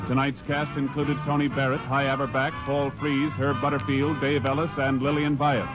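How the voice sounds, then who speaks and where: Man, crisp credits announcer, music bed. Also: mid-range voice, little pitch movement, normal speed, highs cut off. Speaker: radio announcer. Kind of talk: program closing credits.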